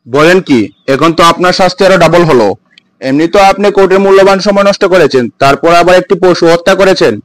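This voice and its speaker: A man's voice: high voice, fast talker, no room sound, lightly acted, rough recording. A man playing a stern, scolding judge in a comedy cartoon skit, with a formal manner.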